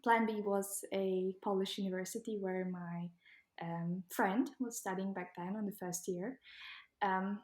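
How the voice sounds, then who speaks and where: A young Ukrainian woman with a Ukrainian accent, answering interview questions casually with many pauses and ums. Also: mid-range voice, fairly even intonation, a little mellow, reverberant. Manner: hesitant, many ums, laughs while talking. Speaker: guest.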